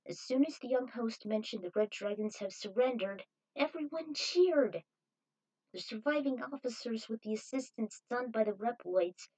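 A woman's voice: mid-range voice, a little mellow, low-quality recording. A woman reading fan fiction aloud as an amateur narrator. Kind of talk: amateur story narration with acted dialogue.

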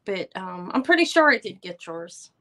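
Loud, bright-voiced woman hosting a live sale. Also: medium pitch, very animated, moderate pace. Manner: chatty, rambling, loud voice. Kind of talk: casual live-stream chat greeting viewers.

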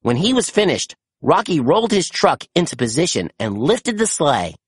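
A storybook narrator reading aloud to children in an expressive, animated way with dramatic emphasis. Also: mid-range voice, moderate pace, husky, no echo, studio quality.

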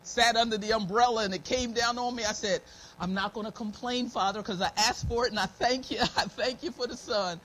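A woman's voice: fairly high pitch, brisk, a bit bright. A middle-aged American woman giving an informal, folksy, devout sermon as a church elder, her throat slightly scratchy and dry. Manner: folksy, devout, repeating phrases of thanks. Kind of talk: informal sermon with personal testimony and prayer. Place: church meeting room, at a pulpit. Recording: noisy live church stream recording.

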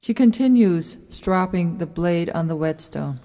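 Female narrator for radio drama stage directions, low and mellow, long reverb. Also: expressive, measured pace.

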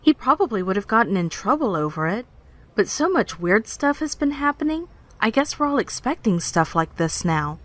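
Young female park ranger, uneasy, apologetic, halting delivery, medium pitch, audio drama. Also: sing-song, a bit bright. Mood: shaken, confiding. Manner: hesitant, self-conscious, halting. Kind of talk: naturalistic podcast drama dialogue, recounting.

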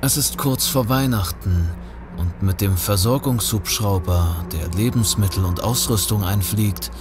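A man's voice: fairly low pitch, a little slow, music in the background, natural voice. A man reading scripted narration for a thriller audio drama. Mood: measured. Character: male narrator of a polar thriller.

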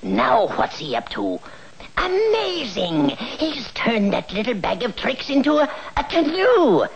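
An actor playing a scheming, covetous old villain professor in a vintage cartoon, muttering and stammering. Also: male voice, very high-pitched, huge pitch swings, slow, gravelly, lo-fi sound, exaggerated voice. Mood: astonished. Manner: stammering, muttering, gloating cackle.

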